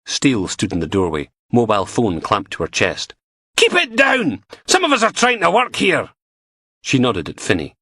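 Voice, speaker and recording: A man's voice: sits low, lively intonation, moderate pace, hardly any pauses, a bit bright, dry recording, studio quality. A man voicing a brash, cynical female detective inspector with a mocking manner.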